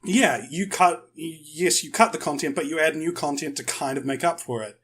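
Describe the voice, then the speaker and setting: Man, moderately high pitch, casual podcast guest, explanatory, self-rephrasing delivery. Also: moderate pace, a bit bright, slightly rough.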